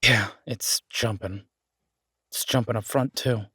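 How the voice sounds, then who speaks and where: A man's voice: fairly low pitch, steady tone, measured pace, pushed and bright, breathy edge, dry recording, clean studio sound, full character voice. A man playing an easygoing, affectionate chef in an audio roleplay, talking in a casual, folksy, reassuring way. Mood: relaxed, affectionate. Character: easygoing down-home male chef, affectionate restaurant owner.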